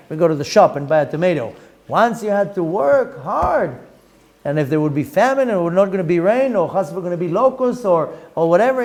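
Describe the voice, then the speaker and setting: A middle-aged man with an Israeli accent teaching a Torah lesson, reading Hebrew text quickly and explaining it in English with lively rhetorical questions. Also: high voice. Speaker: rabbi teaching Zohar.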